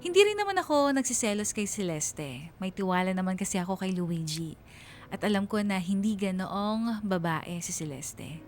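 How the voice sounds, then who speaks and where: A woman's voice: medium pitch, lively intonation, moderate pace, faint music behind, natural voice. A woman playing a reflective young woman narrating her love story on radio, with a Filipino accent. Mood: reflective, admiring. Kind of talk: dramatized letter narration on radio.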